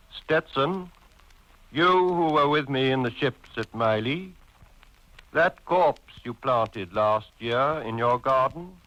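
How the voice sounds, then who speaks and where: A man giving a dramatic poetry reading with grave, deliberate phrasing. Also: medium pitch, a bit bright, no echo, muffled recording.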